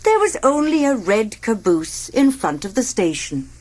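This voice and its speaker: Slow, sing-song storybook narrator, a woman. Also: fairly high pitch. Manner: expressive, sing-song reading with sound effects. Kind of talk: read-along children's story narration.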